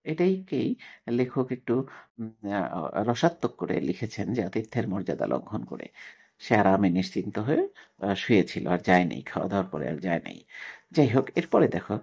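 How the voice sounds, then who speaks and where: Husky man teaching, halting delivery. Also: lowish voice, very animated, darkish tone. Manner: halting, repeats phrases as he explains. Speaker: Bangla literature teacher. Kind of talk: lesson: reads story aloud, then explains. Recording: dull lo-fi recording.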